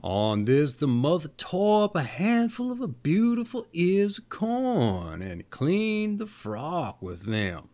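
A man in his thirties reading fairy tales aloud expressively, with theatrical emphasis, drawn-out words and folksy asides. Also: on the high side, huge pitch swings, a little mellow. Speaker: storyteller, show host.

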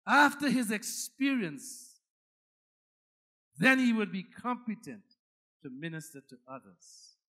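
A Guyanese pastor delivers a sermon in a very high-pitched voice for a man. His tone is bright and forceful, and he preaches dramatically, leaning on repeated phrases to drive each point. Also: very animated, slow, long pauses.

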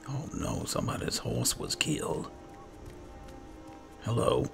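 A man doing roleplay commentary over a video game, halting and musing to himself as he plays. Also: fairly low pitch, wildly swooping pitch, creaky. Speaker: gamer narrating in character as he plays. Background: game music.

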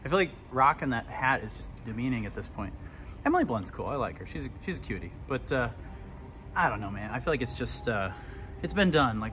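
A man's voice: mid-range voice, a bit bright. A man, vlogging casually while walking through a mall and making blunt, sarcastic remarks. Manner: sarcastic, blunt, laid-back quips. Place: indoor shopping mall. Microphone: handheld camera at arm's length. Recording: noisy mall ambience.

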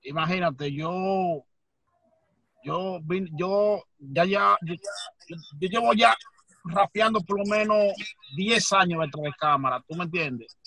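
A man's voice: high-pitched, slow pace, bright, forceful tone, no room sound, poor audio. A young man, an urban music artist, talking casually over a phone video call from inside a car, stammering at first then flowing. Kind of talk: casual interview answers.

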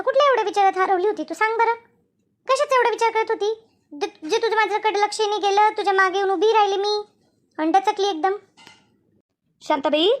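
A woman voicing a chatty village woman in a comic cartoon, with a rural Maharashtrian Marathi accent and colloquial, repetitive talk. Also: extremely high pitch. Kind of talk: animated comedy dialogue.

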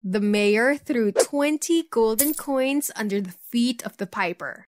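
A woman's voice: a little high, animated, unhurried, darkish tone, slight background noise. A woman narrating a scripted bedtime story for children.

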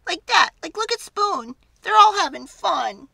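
A woman's voice: extremely high pitch, big pitch swings, a little slow, vocal fry, bright, forceful tone, no echo, voice pushed up, full character voice. A woman voicing an envious storybook table knife, complaining emphatically and longing to be silly.

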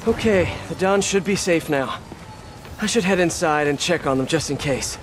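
Actor playing a quippy young superhero, music behind. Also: male voice, fairly high pitch, normal speed, close to an everyday voice. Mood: focused. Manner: wisecracking, muttering to himself. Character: young quippy masked superhero, earnest and self-critical. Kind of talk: video game voice acting. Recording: loud game music and effects behind.

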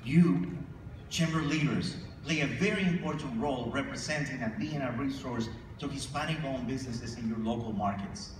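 A man's voice: medium pitch, moderate pace. A man giving a formal, emphatic speech from a podium on a convention stage, leading calls for applause. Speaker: chamber of commerce leader presenting awards. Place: large convention ballroom stage. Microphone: podium microphone, filmed from far in the audience. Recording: echoey hall, distant mic, audience noise. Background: audience ambience.

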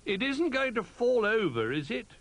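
A man voicing a small, eager, adventurous young toy bear in a children's storybook, excitable and full of exclamation. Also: very high-pitched, moderate pace, voice pushed up, full character voice.

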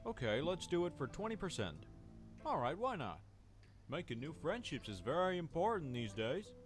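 A man voicing a naive, kindly, trusting guinea pig with a gentle, hesitant, courteous manner in a cartoon game. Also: on the high side, sing-song, normal speed, soft background music. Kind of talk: cartoon adventure game voice acting.

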